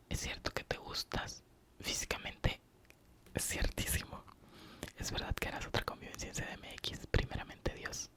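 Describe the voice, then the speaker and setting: A man from Oaxaca with a Mexican accent, whispering an ASMR question-and-answer session with short true-or-false replies. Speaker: ASMR youtuber.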